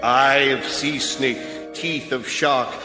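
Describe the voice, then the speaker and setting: A monstrous demon from a radio comedy, intoning menace in grandiose rhyme. The delivery is very slow, drenched in hall reverb, with music behind. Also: male voice, medium pitch, steady tone. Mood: menacing, grandiose. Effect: cavernous reverb.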